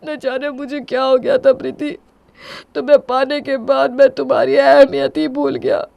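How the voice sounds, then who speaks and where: A woman's voice: sits high, little pitch movement, moderate pace, gravelly, no echo, muffled recording, pitched above the performer's own voice. A woman voicing a proud, distraught young husband in an emphatic, melodramatic story performance. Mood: tearful remorse.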